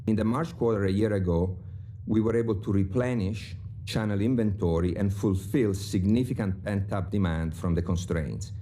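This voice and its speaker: A man with a low, mellow voice reads through quarterly figures in a dry, precise way. A faint hiss runs beneath his words. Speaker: company finance chief on an earnings call. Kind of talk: scripted financial remarks.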